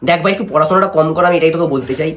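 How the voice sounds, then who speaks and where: Young west bengali guy doing prank calls, kinda high voice, jokey overdramatic pleading. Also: racing, fairly bright tone, slight background noise. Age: young adult. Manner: playful, mock-dramatic, pleading. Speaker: prank-calling vlogger. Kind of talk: casual vlog chat and prank phone calls.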